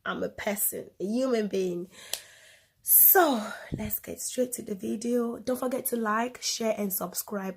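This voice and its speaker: A woman's voice: lowish voice, a little hiss or hum. Casual young Cameroonian woman vlogger. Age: 20s. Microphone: phone camera.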